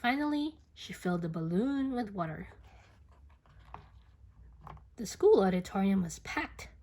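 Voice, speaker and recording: A woman reading a picture book aloud to children in an expressive, dramatic storytelling manner. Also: mid-range voice, unhurried. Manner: expressive, dramatic, with whispers and playful sound effects.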